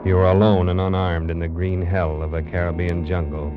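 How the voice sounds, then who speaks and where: A man's voice: deep voice, flat delivery, a little fast. A man announcing a 1940s radio thriller with ominous, theatrical narration. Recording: dull old 1940s radio recording, music.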